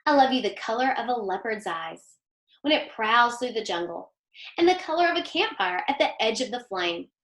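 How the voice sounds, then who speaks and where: A woman reading the part of a gentle, loving storybook mother, tender and admiring with her young sons. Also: a little high, animated, moderate pace, reverberant.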